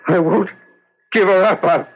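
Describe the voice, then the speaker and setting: An actor playing an anguished police lieutenant gripped by a deadly curse, halting and repeating himself in a 1970s radio drama. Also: male voice, sits high. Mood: tormented, feverish. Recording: dull lo-fi radio broadcast.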